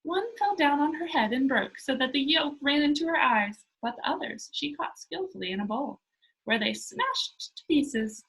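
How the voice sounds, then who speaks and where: A woman reading a children's storybook aloud in an expressive narrating style. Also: high voice, moderate pace, rough recording. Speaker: storybook reader.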